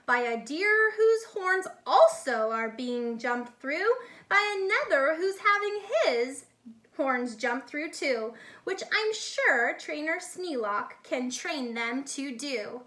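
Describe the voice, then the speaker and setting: Bright, high-pitched woman reading to children. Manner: animated, deliberate, explains words to children. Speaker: children's read-aloud storyteller, teacher-like. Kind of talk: expressive picture-book read-aloud with asides to young listeners.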